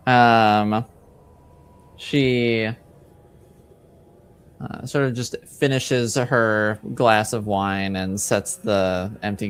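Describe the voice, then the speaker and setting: A man narrating as game master in a halting, conversational, improvised way. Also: lowish voice, clear, resonant tone.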